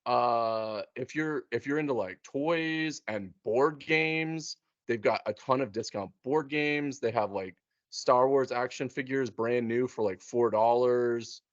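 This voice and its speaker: A man chatting casually, telling things in an animated, chatty way and acting out little quotes as he goes. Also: medium pitch, slow pace, few pauses, no echo, lo-fi sound. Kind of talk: casual chat.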